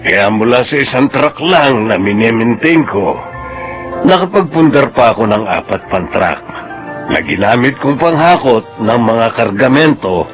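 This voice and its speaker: An actor playing a boastful, newly rich trucking boss in a radio drama, cocky and jovial, with a Filipino accent. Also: male voice, mid-range voice. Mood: proud, satisfied.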